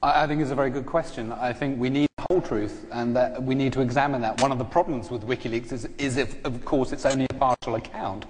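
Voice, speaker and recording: An insistent British man speaks very quickly in a heated panel debate. He is articulate and argumentative, heard in a reverberant hall with slight background noise. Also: mid-range voice, steady tone. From United Kingdom. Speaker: panelist and former government intelligence analyst.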